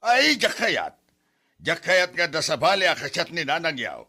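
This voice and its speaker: A man's voice: fairly high pitch, huge pitch swings, normal speed, pushed and bright, heavily acted. A man playing a jealous, whiny, blustering married father in an Ilocano comedy drama, exclaiming and stammering when flustered. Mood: indignant, jealous. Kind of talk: comedy radio-style drama acting.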